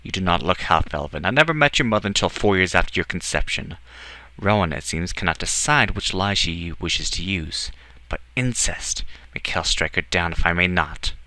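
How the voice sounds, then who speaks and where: A man voicing a sardonic, haughty tall male elf with a quick temper and a theatrical, teasing manner in a storybook reading. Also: low-pitched, animated, pushed and bright, no room sound, rough recording. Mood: enraged. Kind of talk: audiobook character acting.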